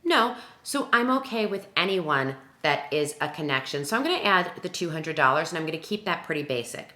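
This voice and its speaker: Bright-voiced woman in an echoey room. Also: low-pitched, lively intonation, a little fast. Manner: emphatic, self-questioning, talks through examples aloud. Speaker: wedding planner presenting a tutorial. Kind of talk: instructional screen-share walkthrough, conversational.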